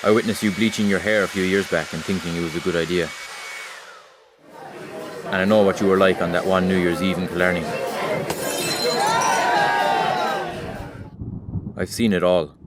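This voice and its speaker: A man's voice: low voice, steady tone, a little fast, long pauses, noisy background, lightly acted. A man playing Rain as a weary observer of humanity, addressing the listener directly in a wry, reproachful audio drama monologue. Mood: knowing, wry.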